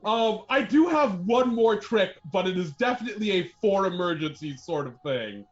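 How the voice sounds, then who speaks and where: A man playing a tabletop game, chatting casually and explaining plans in a halting way. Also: sits high, expressive, lo-fi sound, faint music behind.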